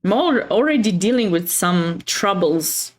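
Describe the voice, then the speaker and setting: A woman's voice: mid-range voice, unhurried. Playful woman with a Romanian accent. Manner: playful, expressive, chatty with viewers. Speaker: chess livestream host and commentator. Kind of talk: casual chat with live chess commentary.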